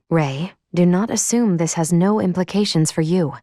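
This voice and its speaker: A composed female android from a video game, acted by a woman, with a fairly low voice in a dry, close recording. She speaks formally and precisely, measured and deadpan, with a dryly caring temper. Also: dry recording, lightly acted. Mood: dry, exasperated. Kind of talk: scripted video game voice acting.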